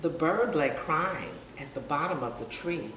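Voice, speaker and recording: Slow, low-pitched older woman reading to children. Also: animated. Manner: asks young listeners questions, points out pictures. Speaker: storytime reader. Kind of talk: children's picture book read-aloud. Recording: roomy, distant mic, faint noise.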